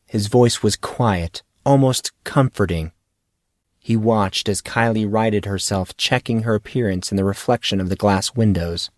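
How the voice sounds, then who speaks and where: A man reading a fantasy audiobook in a measured, dramatic storytelling manner. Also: lowish voice, darkish tone, dry recording, clean studio sound. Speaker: audiobook narrator. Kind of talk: scripted fantasy story narration.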